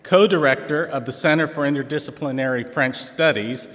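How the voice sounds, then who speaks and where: A man's voice: a little high, a little fast, a bit bright. Man giving a formal speech in a hall. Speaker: college president. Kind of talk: formal award introduction speech. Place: large auditorium. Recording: reverberant auditorium.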